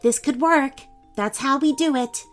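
A woman's voice: high-pitched, a little slow, faint music behind, pitched above the performer's own voice. A woman voicing an earnest young girl heroine, timid but plucky, expressively, in a children's story. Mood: hopeful.

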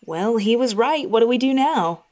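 A woman's voice: fairly high pitch, animated, moderate pace, a little mellow, pitched above the performer's own voice, natural voice. A woman voicing a timid, frightened girl in a horror story, anxious and pleading. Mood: nervous. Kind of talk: horror story character dialogue.

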